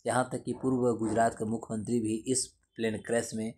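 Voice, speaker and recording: Mellow man teaching. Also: mid-range voice, little pitch movement, fairly quick, a little hiss or hum. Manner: didactic, repeats and rephrases points. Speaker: teacher explaining current affairs. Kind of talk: explanatory lesson to camera.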